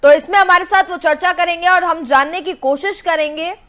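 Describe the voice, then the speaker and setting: A woman's voice: very high-pitched, fairly even intonation, normal speed, fairly bright tone. A woman hosting a live TV news panel debate as anchor.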